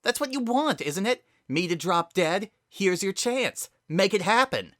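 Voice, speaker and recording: A man's voice: high-pitched, expressive, unhurried, no room sound, clean studio sound, pitched above the performer's own voice. A man voicing a stubborn, pleading young female pony in a dramatic story reading, with an agitated edge. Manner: sharp-edged, agitated.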